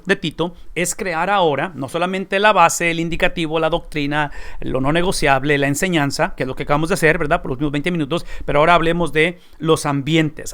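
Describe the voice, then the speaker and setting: A man's voice: fairly high pitch, a bit bright. A middle-aged man with a Mexican accent giving an earnest Bible study lecture, stressing points by repeating them and often correcting himself mid-phrase. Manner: earnest, emphatic, repeats key phrases, frequent self-corrections. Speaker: Bible teacher.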